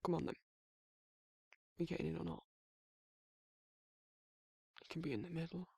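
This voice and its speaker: A man acting as a down-to-earth werewolf boyfriend in an ASMR audio roleplay, protective and fond. His voice is slightly high for a man but very husky and heavily creaky, murmured casually and intimately. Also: steady tone, normal speed, no room sound, studio quality. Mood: teasing, affectionate. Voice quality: husky, breathy, gravelly, often dropping to a whisper. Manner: casual, colloquial, soft-spoken intimate murmur with soft chuckles.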